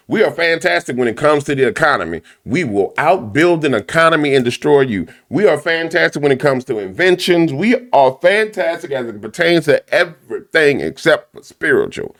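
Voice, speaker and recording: A man hosting a commentary show, ranting loudly and emphatically with a repetitive, preacher-like cadence. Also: fairly high pitch. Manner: loud, big voice. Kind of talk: fiery commentary rant.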